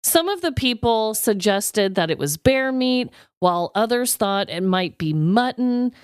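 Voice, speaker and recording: Animated, higher-pitched woman podcast host. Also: a little slow, clear, resonant tone, dry recording, clean studio sound. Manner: animated, reacts with exclamations. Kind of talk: chatty storytelling, reading an article aloud.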